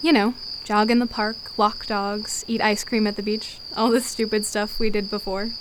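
A woman's voice: mid-range voice, little pitch movement, moderate pace, close to an everyday voice. A woman playing a wistful, affectionate female soldier in an audio drama. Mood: wistful, tender. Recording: clear background noise.

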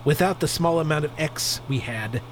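A man's voice: a little high, moderate pace, slight background noise, natural voice. A man playing a proud, formal alien warrior husband with stilted phrasing in a space opera radio drama. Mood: grim, threatening.

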